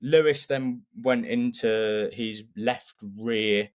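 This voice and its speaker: A man's voice: medium pitch, fairly even intonation, takes it slowly. A man co-hosting a motorsport podcast, analysing in a casual, opinionated and emphatic way.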